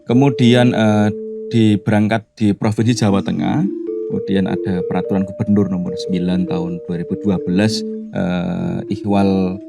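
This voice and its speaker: A man with a Javanese Indonesian accent speaking as a radio guest. He explains his points slowly and thoughtfully, with many hesitant filler pauses. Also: deep voice, little pitch movement, vocal fry, loud music behind the voice. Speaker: guest speaker on language and culture. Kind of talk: casual interview chat. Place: radio studio.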